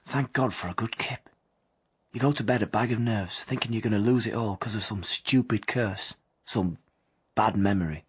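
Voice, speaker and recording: An actor playing a restless young working-class husband who narrates in an anxious, colloquial, confiding way in an audio drama. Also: male voice, lowish voice, normal speed, husky. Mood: reflective.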